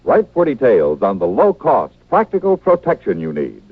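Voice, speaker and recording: A man's voice: medium pitch, huge pitch swings, normal speed, no echo. A man with an American accent reading scripted sponsor messages as a vintage radio announcer. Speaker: commercial announcer. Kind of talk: 1950s radio advertisement read. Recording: tinny, dry old radio recording.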